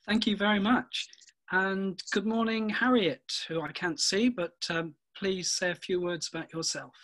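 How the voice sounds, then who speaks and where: Male festival host, polite, gently halting, chairing a poetry panel on a video call. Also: high voice, steady tone.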